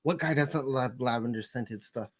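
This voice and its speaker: A man's voice: medium pitch, normal speed. A man, co-hosting a live chat in a joking, easygoing way. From Arkansas. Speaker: co-host.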